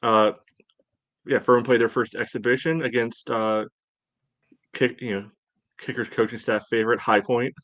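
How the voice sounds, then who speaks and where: A man's voice: mid-range voice, steady tone, moderate pace, long pauses, fairly bright tone, dry recording. A man co-hosting a casual sports talk show, hesitant and thinking aloud as he goes. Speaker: sports podcast co-host.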